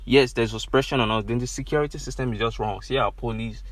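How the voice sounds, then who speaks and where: A man's voice: mid-range voice, steady tone, fast. A young Nigerian man hosting his own podcast, speaking earnestly and with growing passion, recorded inside a car. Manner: earnest, repetitive, rising to passionate emphasis. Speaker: podcast host. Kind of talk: solo podcast monologue.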